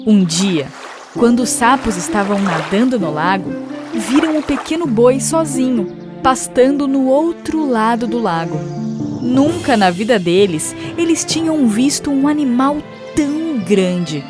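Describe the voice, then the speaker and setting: A woman narrates a children's storybook fable from a script. The voice sits fairly high, with music clearly playing behind it. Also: animated, unhurried.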